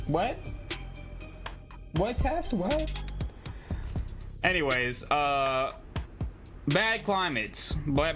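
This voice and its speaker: Slangy man streaming over loud music. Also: mid-range voice. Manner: slangy, jokey, stumbles over long words. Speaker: livestreamer playing a visual novel. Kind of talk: live game commentary mixed with reading on-screen text aloud. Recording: music playing behind the voice.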